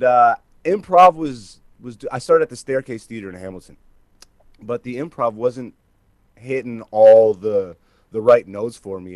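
A man's voice: fairly low pitch, no room sound. Casual comedian in his thirties, dry sound. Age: 30s. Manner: informal, peppered with like and you know. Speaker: stand-up comedian, interview guest. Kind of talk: casual, animated storytelling.

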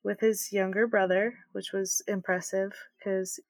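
A woman's voice: mid-range voice, flat delivery, no echo. Chatty, giggly woman podcast co-host. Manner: chatty and giggly, quick reactions. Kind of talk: casual podcast chat.

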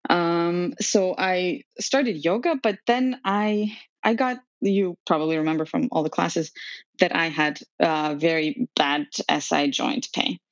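A woman's voice: on the low side, a little slow, no echo. A woman in her thirties from Serbia, telling her story as a podcast guest in a chatty way, with many ums and laughs. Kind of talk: conversational storytelling. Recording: dry, dull lo-fi sound.

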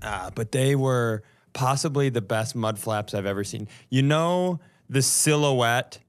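A man's voice: mid-range voice, a little slow. A man co-hosting a comedy podcast, bantering casually in a wry, riffing way.

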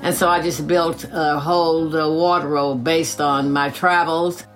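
A woman in her eighties, a retired teacher, telling her own story in a gracious, measured way with soft laughs. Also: low voice, little pitch movement, faint music behind. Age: 80s. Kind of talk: reflective personal storytelling.

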